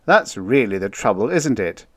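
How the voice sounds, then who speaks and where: A man's voice: fairly low pitch, sing-song, moderate pace, dry recording, studio quality. Man putting on a jovial, bouncy wisecracking spaceman. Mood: knowing, teasing. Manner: chuckling, wisecracking, slangy. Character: jovial teasing spaceman, a gleeful kidder.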